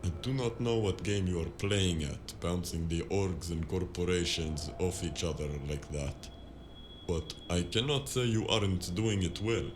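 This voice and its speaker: A man plays a polite male assistant in a noir audio drama. He has a low voice and stiff, precise, formal phrasing with faint disapproval in it. Noise is clearly audible behind him. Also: a little slow. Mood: grudgingly impressed.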